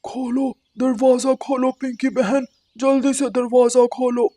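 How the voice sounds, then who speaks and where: A woman's voice: sits high, flat delivery, a little slow, mellow. A woman voicing an angry male cartoon crow who threatens and commands on his sister's behalf. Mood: angry, demanding. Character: angry crow brothers, gruff male avengers of their sister.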